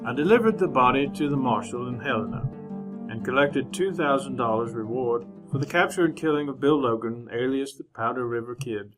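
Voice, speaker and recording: A man playing a composed, honorable gentleman newspaperman in a re-created old-time radio western, speaking formally and with measured politeness. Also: mid-range voice, music in the background, natural voice, rough recording. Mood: somber narration. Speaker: actor narrating as lead character.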